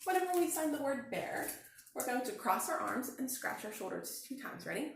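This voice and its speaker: A woman teacher speaking slowly and clearly, encouraging children through a signing lesson and read-aloud. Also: sits high, big pitch swings. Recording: very echoey room.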